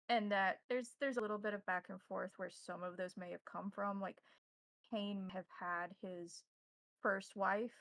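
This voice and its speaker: A woman speaking as game master in measured, formal phrases, improvising roleplay and narration. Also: mid-range voice, no echo.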